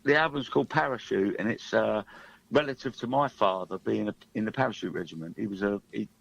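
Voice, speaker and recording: A man's voice: on the low side, a little fast, gravelly, a bit bright, lo-fi sound. A middle-aged man, a singer-songwriter guest, chatting casually in a radio studio interview.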